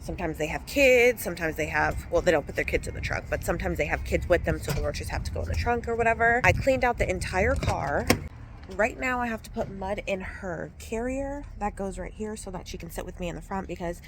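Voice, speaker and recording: A woman in her thirties chatting casually to her phone camera from inside a car. Her nose is stuffy and she talks in an animated, self-mocking way. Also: lowish voice, fairly quick, noisy background. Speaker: vlogger. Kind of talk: casual vlog chat.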